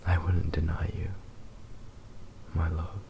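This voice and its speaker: A man playing a possessive, doting kitsune fox boy in a slow, tender, intimate whispered ASMR roleplay. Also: low voice, level tone, creaky, noisy background, exaggerated voice. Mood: tender, devoted.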